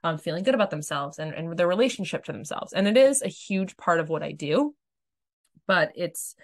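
Raspy-voiced woman hosting a podcast with a cold. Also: lowish voice, animated, a little fast. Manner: candid, chatty, swears freely. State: hoarse from a cold. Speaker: podcast host. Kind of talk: casual spiritual self-help podcast talk. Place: home room with dogs.

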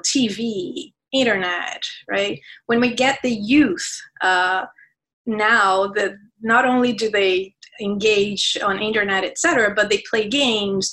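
A woman's voice: mid-range voice, a bit bright, low-quality recording. Passionate woman with many restarts. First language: Portuguese. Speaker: guest. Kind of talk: conversational interview.

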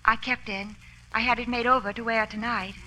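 A proper, old-fashioned young heiress in a vintage radio drama. Her voice is fairly high and very bright, with formal phrasing, on an old recording with faint noise. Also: steady tone, a little fast, poor audio. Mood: gracious, composed.

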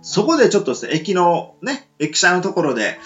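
A man's voice: sits high, sing-song, fairly quick, fairly bright tone, highs cut off, faint music behind. A man co-hosting a casual radio chat, rambling with many fillers. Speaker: radio show co-host.

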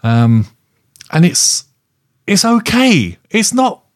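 A man casually reviewing films as a podcast co-host, rambling and halting as he circles back over his phrases. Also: sits high, sing-song. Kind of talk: casual film review chat.

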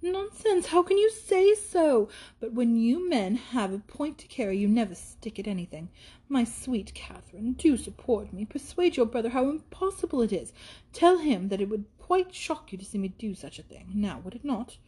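A woman's voice: fairly high pitch, very animated, moderate pace, dark tone, slightly rough. A woman voicing a gushing, flighty young woman in a period storybook, coquettish, exclamatory and affected as she scolds. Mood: indignant, dramatic.

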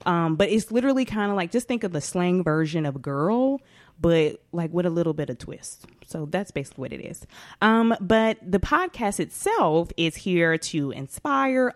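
A chatty young woman from Atlanta hosts a casual podcast, her voice sitting a little low with lively swings in pitch. She speaks close to the mic in a dry, dead room, filling her talk with easy fillers. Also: dry recording. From Atlanta, Georgia, US. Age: 20s. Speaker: podcast host.

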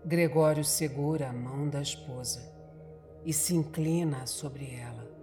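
Horror radio drama narrator: Brazilian female, very unhurried dramatic storytelling, set against music. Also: low voice, warm tone.

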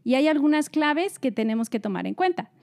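Upbeat woman co-host, slightly high voice. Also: lively intonation, brisk, a little mellow. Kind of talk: casual panel talk.

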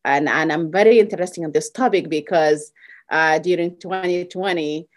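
A woman from Sudan, guest on a public health podcast, who talks in a halting way with many fillers and restarts. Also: low voice. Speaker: executive director of a worker center.

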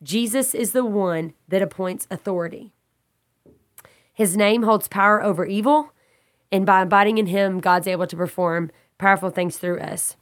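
A woman leads a Bible study with a stuffy, slightly raspy voice from a cold. She talks earnestly and steadily, repeating and restating her points as she builds them. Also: medium pitch, normal speed. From Texas. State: congested, a little raspy.

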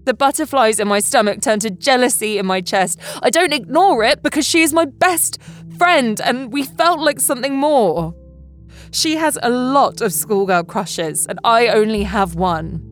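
A woman reading a first-person poem aloud as a spoken-word piece. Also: mid-range voice.

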